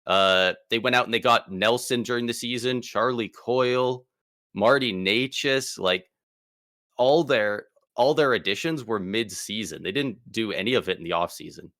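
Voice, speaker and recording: A man co-hosting a casual sports podcast, excitable and slangy, speaking with emphatic bursts. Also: on the low side, normal speed, dry recording, clean studio sound. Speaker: podcast co-host.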